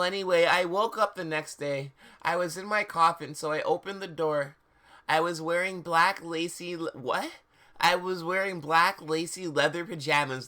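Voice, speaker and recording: Livestream host with a bright, forceful voice, theatrical and mocking, reading fan fiction and commenting casually. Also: mid-range voice. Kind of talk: comic dramatic reading with casual asides.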